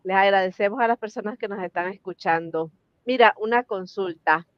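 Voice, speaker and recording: Woman host, smooth voice, chatty questions, dry dull recording. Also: medium pitch, normal speed, no echo. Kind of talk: interview questions.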